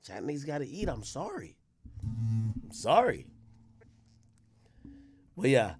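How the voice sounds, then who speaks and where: A man's voice: mid-range voice, sing-song, takes it slowly, noisy background. A man live-streaming, chatting loosely and profanely straight to his viewers. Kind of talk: casual live-stream chat.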